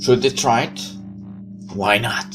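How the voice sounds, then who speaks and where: A man's voice: mid-range voice, fairly even intonation, takes it slowly. A man reading a visual novel aloud in first person, sometimes stepping out of the narration for casual comments. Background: background music.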